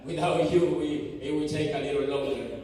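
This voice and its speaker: A man from Guatemala with a Guatemalan Spanish accent, a native Spanish speaker, giving a halting church testimony full of fillers and restarts. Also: a little high, steady tone, mellow, a little hiss or hum. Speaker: mission team member giving a church report. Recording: echoey church hall from far off.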